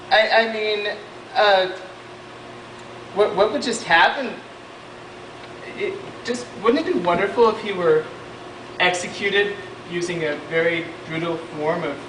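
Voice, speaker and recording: A student actor playing a grumpy, scheming Pharisee in an amateur skit, talking in a hesitant deadpan way. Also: male voice, sits high, full character voice. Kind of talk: amateur class skit acting. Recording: roomy distant noisy home video.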